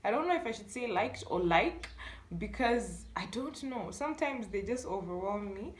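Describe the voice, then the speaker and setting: A young woman with a Malawian accent tells a story casually to camera in an animated way, acting out bits of dialogue with interjections. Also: medium pitch, normal speed. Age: young adult. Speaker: storytime YouTuber. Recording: echoey room.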